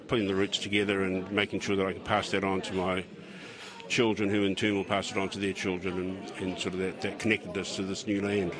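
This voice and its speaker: A middle-aged man giving earnest, reflective interview answers, often restarting his phrases. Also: low-pitched. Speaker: survey participant. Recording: outdoor crowd noise behind. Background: crowd chatter.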